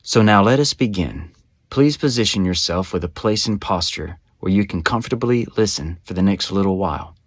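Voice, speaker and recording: A man narrating a scripted guided meditation as a guide, with measured, soothing, instructional phrasing. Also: sits low, animated, no echo, clean studio sound.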